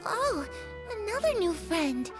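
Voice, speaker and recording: A little ghost girl in a video game. The voice is childlike and very high, innocent and friendly. Music is clearly audible underneath. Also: sing-song, takes it slowly, full character voice. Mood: content, friendly.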